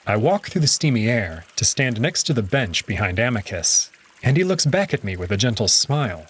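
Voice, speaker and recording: A man narrating a visual novel in the first person, easy and conversational. His voice is a little husky, with a mid-range pitch and lively rises and falls. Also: normal speed.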